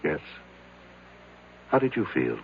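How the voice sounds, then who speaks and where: An actor in a radio drama playing a patient psychiatrist who prompts with short, probing questions. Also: male voice, a bass voice, sing-song, slow pace, heavily acted. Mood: gently probing. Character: patient, probing male psychiatrist drawing out his patient. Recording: dull, noisy old radio broadcast.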